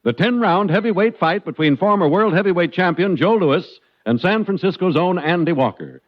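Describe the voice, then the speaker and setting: Male vintage radio pitchman, lively intonation, higher register, dull 1950s broadcast sound. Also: normal speed. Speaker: radio announcer. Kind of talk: 1950s radio sponsor announcement.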